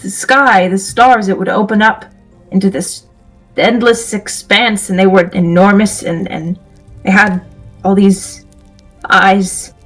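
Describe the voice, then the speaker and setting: A woman acts out an awkward male fantasy adventurer in a tabletop audio drama. He is earnest and timid, halting and stammering as he goes, at a middling pitch. Faint music plays behind him. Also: steady tone, a bit bright, low-quality recording. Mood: uneasy, confiding.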